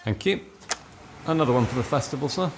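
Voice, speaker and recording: An actor playing a nosy train guard in a radio play, pointedly polite yet blunt and needling. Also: male voice, mid-range voice, expressive, fast talker, vocal fry, faint music behind. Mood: brisk politeness. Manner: sing-song ticket call, pointedly polite, blunt.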